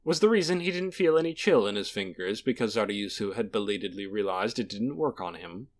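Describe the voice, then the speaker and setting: A man's voice: lowish voice, expressive, fairly quick. A man narrating a fantasy audiobook in a dramatic, scripted storytelling style.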